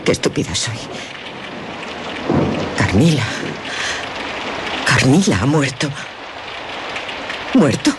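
An actress playing a haunted, anguished young gentlewoman in a radio drama, with a dramatic, halting delivery. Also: extremely low pitch, big pitch swings, heavy background noise, heavily acted. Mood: frightened, anguished.

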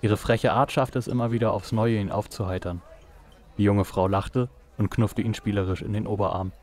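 A man's voice: fairly low pitch, fairly even intonation, moderate pace, a little mellow. A man reading scripted narration for a noir audio drama.

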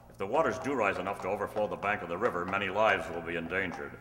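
A man's voice: sits low, quick pace, lightly acted. An older man playing a resolute, earnest masked cowboy hero in a staged old-time radio drama. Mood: grave, thoughtful. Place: stage in a hall. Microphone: stand microphone. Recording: echoey hall, noisy room ambience.

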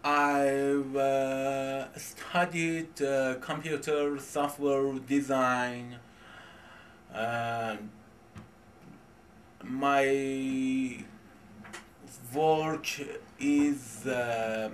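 Young man, Persian accent, very slow and hesitant, recorded from a distance with faint noise. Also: medium pitch, fairly even intonation. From Iran. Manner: halting, many pauses and fillers. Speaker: vlogger. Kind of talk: hesitant self-introduction. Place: home in Tehran.

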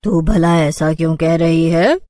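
A sly cartoon witch, acted by a woman, speaks in a lowered voice. She drawls her commands and bargains with mocking relish, the sound dry and close. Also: a little slow, dark tone, dry recording. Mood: scheming.

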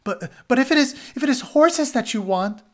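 A man's voice: very high voice, expressive, moderate pace, fairly bright tone, pitched above the performer's own voice. A man voicing a frightened male spy in a storybook, stammering and pleading for his life. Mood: panicked pleading. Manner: stammering, repeating words in panic.

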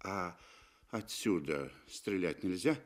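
A man's voice: low-pitched, expressive, slow. A man voicing a cowardly rich husband, plaintive and hesitant, in a 1960s radio play. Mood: shaken, uncertain.

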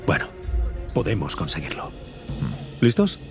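A confident adventurer in a video game, with a low voice and lively intonation. He talks casually, like a quick-thinking, determined thief, with music clearly behind him. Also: exaggerated voice. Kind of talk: game cinematic acting, Spanish dub.

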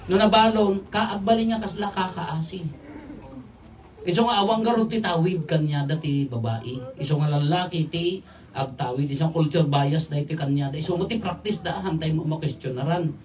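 A middle-aged man giving an informal homily as a priest, conversational and jokey, in halting phrases with rhetorical questions. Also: high voice, a little hiss or hum. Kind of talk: informal homily mixing local language with English terms. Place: outdoors at night at a makeshift altar. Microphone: microphone on a stand, filmed from afar. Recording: distant, slightly off-mic capture.

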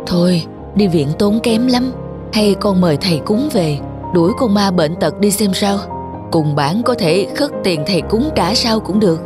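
A frail, worried old village mother voiced by a woman in a cartoon. She talks in a plaintive, homely way, with music clearly behind her. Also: mid-range voice, lively intonation, normal speed, close to an everyday voice. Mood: ailing, plaintive. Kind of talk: cartoon dubbing.

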